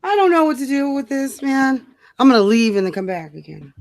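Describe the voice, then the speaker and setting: A woman's voice: high-pitched, big pitch swings, fairly quick, darkish tone. A woman co-hosting a live stream in casual, unscripted chat, blunt and slangy in how she talks.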